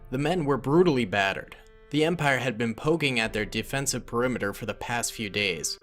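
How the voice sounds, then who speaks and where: A man's voice: medium pitch, lively intonation, fairly quick, a bit bright, soft background music. A man narrating a fan-made war story and voicing several soldiers in dialogue. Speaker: narrator and voice of most characters. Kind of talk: fan-made cutscene narration with character voices.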